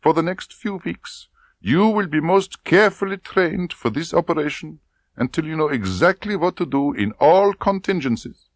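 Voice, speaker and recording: A domineering, menacing female Soviet colonel, put on by a male audiobook reader, pitched well up into a sharp, forceful register. She is stern and commanding, her voice swooping with lively intonation, recorded dry and close. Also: no room sound, full character voice. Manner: stern and commanding, then oily and coaxing. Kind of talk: audiobook character voice.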